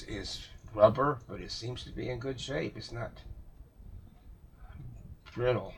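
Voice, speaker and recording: A man's voice: medium pitch, vocal fry, fairly bright tone, breathy edge. A man talking casually through a radio repair at his workbench, rambling and thinking aloud with fillers and self-corrections. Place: home workshop.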